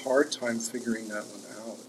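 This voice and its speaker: A man's voice: medium pitch, steady tone, normal speed, a little hiss or hum, rough recording. A man hosting a casual interview, enthusiastic and full of follow-up questions. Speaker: interviewer, host.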